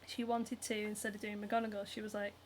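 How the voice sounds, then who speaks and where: A woman's voice: medium pitch, flat delivery, brisk, slight background noise. A young woman with a Northern English accent, hosting a casual livestream while she sews, reading out viewer comments and chatting with lots of giggles, ums and likes. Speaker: cosplayer and livestream host. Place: home, hand sewing while streaming.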